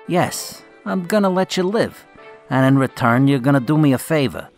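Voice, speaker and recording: A man's voice: medium pitch, lively intonation, moderate pace, darkish tone, soft background music, lightly acted. A man playing a composed, menacing mob boss in a radio drama, talking with cool condescension. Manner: condescending, coolly controlled.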